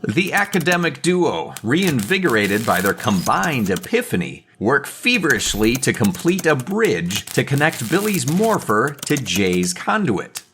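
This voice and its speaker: A man's voice: mid-range voice, big pitch swings, a little slow, hardly any pauses, a bit bright. A man narrating a superhero audio drama in an earnest, dramatic scripted storytelling style, with background noise.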